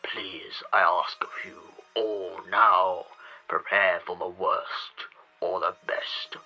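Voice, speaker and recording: A man plays a resolute alien head of state addressing the people in an audio drama. The deep voice is sharp and forceful, with a slow, portentous and solemn delivery. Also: steady tone, muffled recording, exaggerated voice. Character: grave, resolute Time Lord president addressing his people. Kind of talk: sci-fi audio drama, formal wartime speech.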